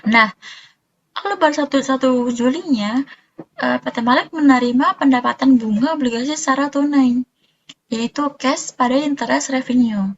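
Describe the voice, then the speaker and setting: Young woman, fairly high voice, hesitant student presenter, slightly dull lo-fi sound. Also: moderate pace, slight background noise. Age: young adult. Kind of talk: explanatory accounting presentation.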